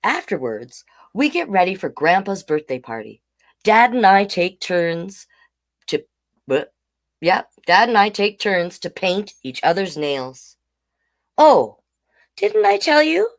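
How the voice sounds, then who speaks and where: Woman storyteller with very lively pitch swings. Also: slow. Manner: simple, child-directed explaining with tag questions. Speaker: children's storytime host and reader. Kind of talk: picture-book read-aloud with chatty asides.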